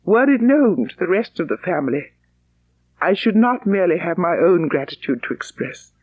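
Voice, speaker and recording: Lady playing young gentlewoman, spirited n polite, lively pitch, audiobook, slightly muffled. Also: normal speed. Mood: earnest gratitude. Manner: polite, earnest. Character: spirited, well-bred young woman, sincere and self-possessed. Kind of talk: audiobook character acting.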